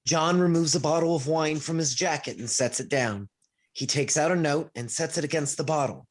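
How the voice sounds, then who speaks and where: A narrator reading stage directions aloud at a comedy table read in a husky voice. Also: medium pitch, normal speed. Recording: video call.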